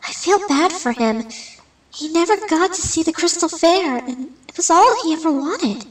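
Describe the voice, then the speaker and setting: A woman's voice: extremely high pitch, expressive, normal speed, recorded from a distance, rough recording. A woman voicing a sympathetic, bookish young pony princess in a comic fandub. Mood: curious, sympathetic. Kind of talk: animated comic fandub acting.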